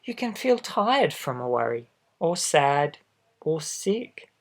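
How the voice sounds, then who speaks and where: A man reads a picture book aloud to young children in a gentle, expressive way, adding little asides straight to the listener. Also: fairly high pitch, slow, creaky. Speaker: storybook reader for children.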